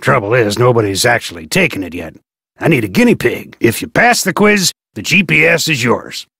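Gravelly husky fisherman guy, folksy and drawly, kinda sharp edge. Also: medium pitch, big pitch swings, fairly quick, dry recording, clean studio sound, exaggerated voice. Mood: gruff. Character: gruff folksy middle-aged male fisherman. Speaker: game character. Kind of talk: video game voice acting.

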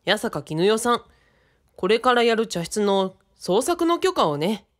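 Radio drama youth, male role voiced by a woman: earnest, polite, matter-of-fact, with animated intonation. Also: a little high, a little slow. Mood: thoughtful.

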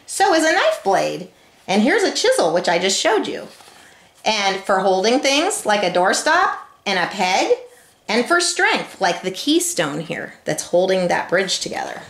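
A woman's voice: medium pitch, a bit bright. A middle-aged woman reading a children's picture book aloud, expressively, with instructive asides. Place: home kitchen, indoors. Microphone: camera a short distance away. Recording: roomy, echoing home-video sound.

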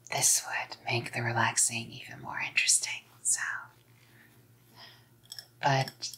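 A young woman playing a doting, controlling psycho girlfriend in a hushed, coaxing ASMR role-play. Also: rumbling low, creaky, exaggerated voice. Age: young adult. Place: small dim indoor room.

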